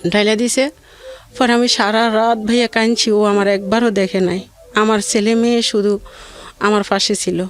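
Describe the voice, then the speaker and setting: A woman telling her life story in a plaintive, rambling way with halting repeats. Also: mid-range voice, level tone, soft background music. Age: adult mother of two. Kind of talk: personal hardship testimony in conversation.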